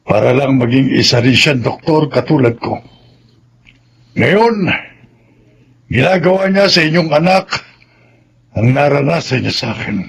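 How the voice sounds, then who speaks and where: Gravelly old Filipino grandfather. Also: mid-range voice, animated, a little slow, long pauses, exaggerated voice. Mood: regretful. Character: regretful elderly grandfather, retired-age doctor and patriarch. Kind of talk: Filipino radio drama acting.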